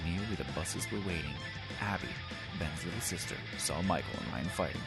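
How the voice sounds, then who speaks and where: A man's voice: sits low, expressive, brisk, loud music behind the voice. A man doing a theatrical, comedic dramatic reading of a story, exaggerated and full of ad-libbed asides.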